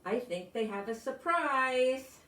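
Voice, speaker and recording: A woman's voice: sits high, lively intonation, takes it slowly, a bit bright, voice pushed up, exaggerated voice. A woman voicing eager, friendly schoolchildren in a storybook, speaking in earnest, simple declarations. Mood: friendly, excited. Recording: roomy echo, distant microphone.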